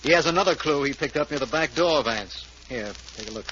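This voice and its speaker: Earnest cautious district attorney, 1940s radio mystery, muffled noisy recording. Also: male voice, medium pitch, animated, fairly quick, sharp tone. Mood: businesslike. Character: earnest, businesslike male district attorney, detective's cautious partner. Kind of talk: 1940s radio drama acting and scripted narration.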